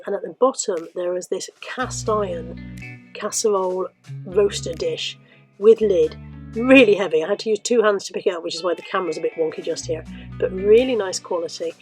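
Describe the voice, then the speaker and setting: A woman with a cold, sounding croaky and sniffly, chatting casually to viewers about her shopping with self-mocking asides. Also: mid-range voice, darkish tone, rough recording. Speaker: vlogger. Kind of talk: chatty shopping haul vlog.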